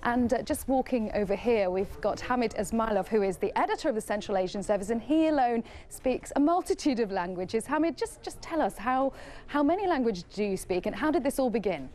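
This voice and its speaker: Brisk woman reporting from a newsroom. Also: a little high, animated, darkish tone. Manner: brisk, conversational with fillers. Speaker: news presenter. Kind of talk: live walkabout news report. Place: large open-plan newsroom.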